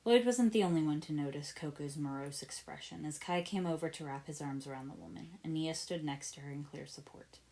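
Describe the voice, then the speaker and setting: A woman's voice: low voice, a little fast, creaky, few pauses, mellow. A woman narrating fiction as an amateur audiobook reader, with expression in the scripted prose.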